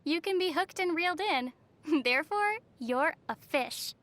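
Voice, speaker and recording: A young wandering adventurer girl from a fantasy video game. Her voice is high and youthful. She speaks softly and with composure, with a wistful temper and a dry, teasing edge. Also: animated, measured pace.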